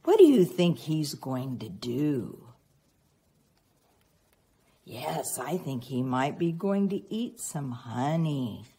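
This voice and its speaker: A woman reading a picture book aloud expressively to young children, prompting them with teacherly questions and pauses. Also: rumbling low, big pitch swings, mellow, husky. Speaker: children's read-aloud reader.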